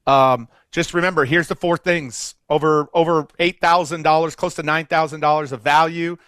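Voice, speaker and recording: A man's voice: fairly high pitch, steady tone, moderate pace, a bit bright, clear, resonant tone, no echo. Upbeat salesy webinar host man. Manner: upbeat, salesy, runs through offer details. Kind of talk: sales pitch.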